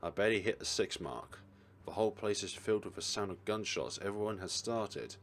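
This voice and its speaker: Male let's play host, deep voice, casual commentary, reading aloud, wry joking delivery. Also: a little fast, fairly bright tone, slight background noise.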